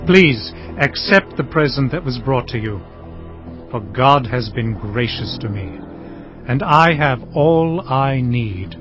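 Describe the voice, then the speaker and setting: Humble herdsman, lively pitch. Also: slow pace. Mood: humble gratitude. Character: humble, courteous herdsman father placating his brother. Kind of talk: dramatized audio Bible acting. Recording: dull sound, light music behind.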